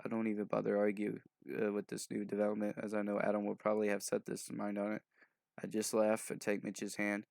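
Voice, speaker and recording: A man with a fairly low voice and plenty of fry reads aloud in a loose, unrehearsed way. He stumbles over words, skims mumblingly and drops in offhand asides, sounding short on sleep. The sound is close and dry. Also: monotone, brisk, a little mellow, no echo. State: sleep-deprived. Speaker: video host doing a fanfic reading. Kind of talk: loose, improvised reading aloud.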